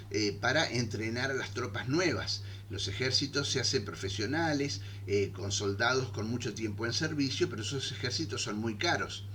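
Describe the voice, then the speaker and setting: A man giving an unscripted history lecture, filling pauses with "eh" and checking in with his listeners as he goes. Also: medium pitch, bright, forceful tone, slight background noise. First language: Spanish. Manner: thinks aloud with frequent "eh" fillers and "¿eh?" checks. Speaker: history teacher.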